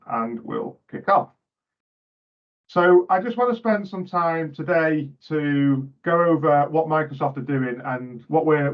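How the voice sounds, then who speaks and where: A man's voice: medium pitch, lo-fi sound. A man presenting as a guest speaker in a webinar, upbeat and partly scripted, over a video call. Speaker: guest presenter from a tech company.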